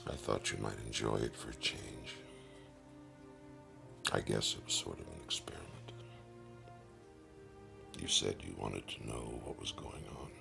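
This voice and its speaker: An actor playing a commanding man who coaxes quietly and courteously, hushed and measured, in an intimate audio drama. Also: rumbling low, vocal fry, full character voice. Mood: soft, solicitous. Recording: music under the voice.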